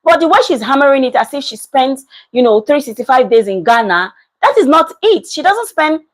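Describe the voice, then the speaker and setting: A woman's voice: high voice, a little fast. A woman, giving casual reaction commentary and reading out viewer comments in an emphatic way that repeats points. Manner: animated, emphatic, repeats herself. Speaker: commentary vlogger.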